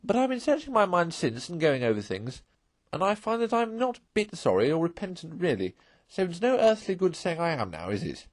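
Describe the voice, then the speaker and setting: A vain, defiant toad of a gentleman from a storybook, played by a man. He gushes and insists in a high, swooping voice, recorded close and dry. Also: very animated, fairly quick, hardly any pauses, dry recording. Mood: impatient, flattering. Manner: effusive, emphatic, impatient.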